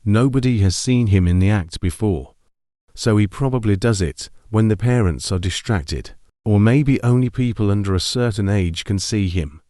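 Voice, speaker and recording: Low, mellow secretive investigator, played by a man. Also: normal speed, no echo, clean studio sound, lightly acted. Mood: explanatory. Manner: explanatory, informal rambling. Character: secretive male FBI investigator recording a confidential briefing. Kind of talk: amateur analog horror monologue.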